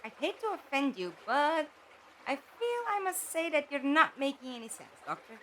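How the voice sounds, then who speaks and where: An actress in a fan audio drama playing a wry, sceptical, questioning companion to a time traveller. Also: female voice, high-pitched, very animated, moderate pace, pushed and bright, slight background noise, exaggerated voice.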